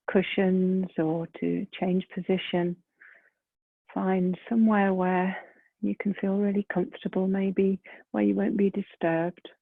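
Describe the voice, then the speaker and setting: Very slow, breathy woman guiding meditation. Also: medium pitch, no room sound. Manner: gentle, unhurried, with long pauses between phrases. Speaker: meditation guide. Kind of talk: guided meditation. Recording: muffled phone-like lo-fi sound.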